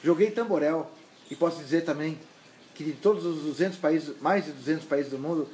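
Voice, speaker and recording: A mature man with a Santos Brazilian accent and a fairly high voice. He answers as a candidate being interviewed, emphatic but halting, often repeating words as he searches for the next. There is clear noise on the recording, made at home. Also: a little mellow, poor audio. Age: middle-aged or older. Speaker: mayoral candidate and university lecturer. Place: indoors at his home.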